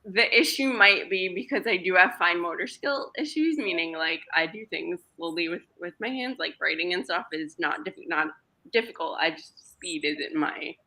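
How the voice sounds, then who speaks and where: Gravelly low-voiced woman in her thirties. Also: bright, forceful tone, low-quality recording. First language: Greek. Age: 30s. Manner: open, laughs easily, halting restarts. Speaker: podcast guest. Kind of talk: casual podcast chat.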